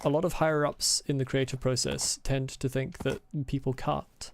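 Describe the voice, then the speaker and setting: A man with a light Scottish accent streams a game, chatting and rambling in a gravelly, fry-edged voice. His pitch sits in an ordinary middle range. He slips into blunt, sweary rants. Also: flat delivery, moderate pace, a little hiss or hum. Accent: mild Scottish. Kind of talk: casual live-stream chat while gaming.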